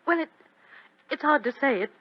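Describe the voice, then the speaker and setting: High, anxious young lady. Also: expressive, normal speed. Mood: anxious dread. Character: frightened, well-bred young lady in peril. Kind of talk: 1940s radio acting. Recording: dull, lo-fi vintage radio recording.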